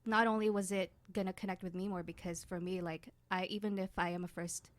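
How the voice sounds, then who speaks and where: A woman's voice: mid-range voice, flat delivery, brisk, no room sound. A woman talking casually as a guest in an interview. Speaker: guest, radio drama writer and producer.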